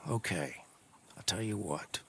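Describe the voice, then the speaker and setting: Cagey low-voiced old crook. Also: male voice, lively intonation, measured pace, creaky, sharp tone, husky, full character voice. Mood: sly, bargaining. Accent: American. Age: older. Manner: cagey, grudging, folksy. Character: wary, crafty old man, backwoods stolen-goods dealer. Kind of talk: cinematic video game acting.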